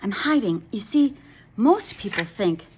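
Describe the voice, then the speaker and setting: A woman's voice: mid-range voice, animated, a little slow, a little hiss or hum, natural voice. A woman voicing a small, worried male baby dragon in a storybook, speaking eagerly and earnestly.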